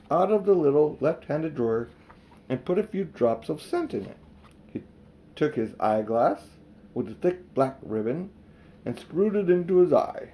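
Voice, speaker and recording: An older man reading a children's storybook aloud as expressive narration. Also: on the high side. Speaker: audiobook reader.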